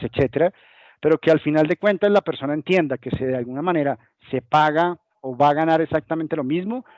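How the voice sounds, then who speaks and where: A man's voice: on the high side, dry recording, muffled recording. A man from Colombia with a Colombian accent, giving an explanatory business webinar presentation and now and then voicing what companies say. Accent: Colombian Spanish. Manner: explanatory, acts out hypothetical company voices. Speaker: guest presenter.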